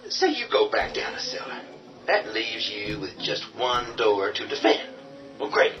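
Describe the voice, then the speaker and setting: An actor playing a hard, commanding man who defends a boarded-up farmhouse and turns to menacing whispers. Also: medium pitch. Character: tough defiant man holding a farmhouse. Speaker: Ben. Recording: dull distant audio over music.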